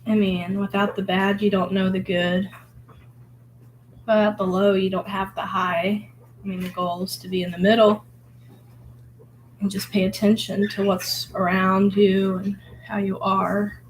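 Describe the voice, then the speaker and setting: Hoarse woman musing while painting. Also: medium pitch, flat delivery, a little slow, pauses often, slight background noise, low-quality recording. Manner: rambling, filler-heavy, musing. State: hoarse from illness. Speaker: vlogger painting. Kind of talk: casual reflective monologue.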